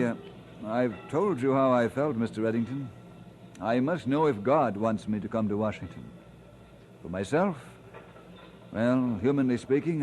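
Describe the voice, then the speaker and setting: An actor playing an earnest, humble pastor, speaking politely and sincerely in an old-time radio drama style. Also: male voice, mid-range voice, darkish tone, close to an everyday voice. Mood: earnest, troubled. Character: earnest, humble, courteous male pastor, newly married. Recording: noisy vintage radio transcription.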